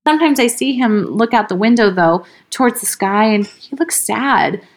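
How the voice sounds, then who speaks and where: A woman's voice: a little high, expressive, moderate pace. A woman podcast co-host reading a first-person horror story aloud. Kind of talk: horror story read aloud, then casual chat.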